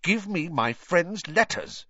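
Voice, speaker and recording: An earnest schoolboy acted by a man, plain-spoken and urgent. His questions swing widely in pitch with some impatience, in a storybook reading. The recording is dry and slightly dull. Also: wildly swooping pitch, measured pace, husky, no room sound, exaggerated voice. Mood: angry.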